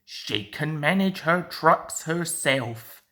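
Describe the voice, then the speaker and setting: A fussy old male tram engine from a children's storybook, played by a woman reader. He grumbles sternly in a fairly low voice and drags out his words very slowly. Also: lively intonation, a bit bright, exaggerated voice. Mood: fuming.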